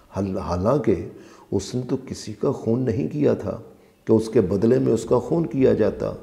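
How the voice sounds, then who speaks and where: Male narrator for scripture translation: dark, soft-edged tone with noticeable fry, deliberate and emphatic phrasing. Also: fairly low pitch. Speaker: translator and reciter of a Quran translation. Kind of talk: scripted religious narration, read aloud.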